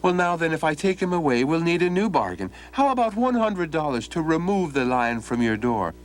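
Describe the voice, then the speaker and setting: A man's voice: a little high, sing-song, brisk, pitched above the performer's own voice. A man voicing a cheeky, clever young farmhand with a sly, innocent-sounding manner.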